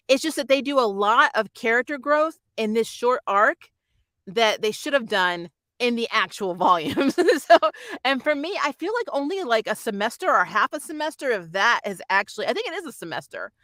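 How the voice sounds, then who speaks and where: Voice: medium pitch, bright, forceful tone, dry recording. A reviewer chatting casually and emphatically, like a fan talking through an episode as host of a channel. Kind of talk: casual spoiler review chat.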